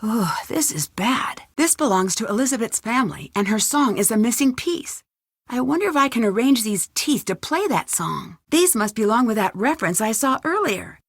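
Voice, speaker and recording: A curious, plucky young woman detective from a video game, muses to herself as she works. Her voice sits fairly high and every word is clearly enunciated. The recording is close and dry, with no room sound. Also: big pitch swings, moderate pace, dry recording. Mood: worried. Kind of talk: video game voice acting, thinking aloud.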